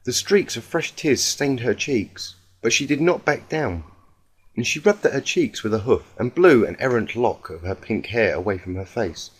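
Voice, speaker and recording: A man's voice: medium pitch, expressive, moderate pace, husky. A man narrating scripted fiction as a dramatic story reader.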